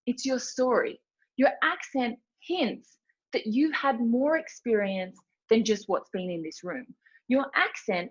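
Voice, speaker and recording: A woman's voice: fairly high pitch, breathy edge. An Australian woman giving a chatty, informal webinar presentation, telling stories with lots of fillers. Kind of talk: casual presentation talk. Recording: dull lo-fi webinar audio.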